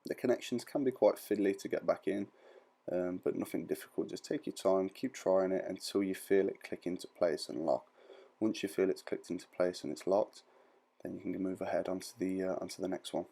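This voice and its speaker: A man with a very low, gravelly voice narrates an informal how-to. His tone is soft-edged and dark. He explains each step in plain, reassuring language, like a tutorial presenter. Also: fairly even intonation, fairly quick. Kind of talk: informal step-by-step instructional voice-over.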